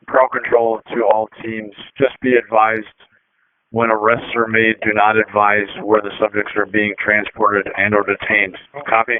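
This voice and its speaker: A man's voice: lowish voice, monotone, measured pace, slight background noise. A man working as a police officer gives clipped procedural orders and replies over a two-way radio. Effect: two-way radio transmission. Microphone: two-way radio handset. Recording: tinny narrowband police radio.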